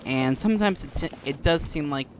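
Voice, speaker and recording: A man giving casual, musing gameplay commentary with halting delivery as he hosts. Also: a little high, background noise. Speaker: gaming video host. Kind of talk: casual gameplay commentary, reading dialogue aloud.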